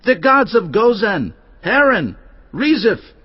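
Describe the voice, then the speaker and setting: A man's voice: high-pitched, big pitch swings, slow pace, husky, no room sound, full character voice. An actor playing the Assyrian king's messenger, speaking with arrogant taunts. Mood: haughty. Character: haughty messenger of the Assyrian king. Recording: dry, dull close recording.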